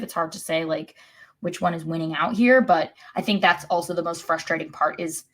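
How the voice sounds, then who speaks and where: A woman co-hosting a casual sports podcast, talking like a wry, resigned fan. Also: medium pitch. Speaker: podcast co-host.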